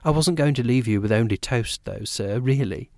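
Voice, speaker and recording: A man's voice: medium pitch, normal speed, dry recording, studio quality, natural voice. A man voicing a deferential young maid serving at table. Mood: polite. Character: polite young female maid.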